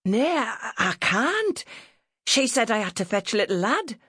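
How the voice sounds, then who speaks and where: A woman's voice: fairly high pitch, big pitch swings, unhurried, a bit bright, husky, dry recording, studio quality, voice pushed up, full character voice. Woman voicing a flustered, stammering farm lad. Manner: stammering, uncertain. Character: thick-set country youth, bashful and flustered.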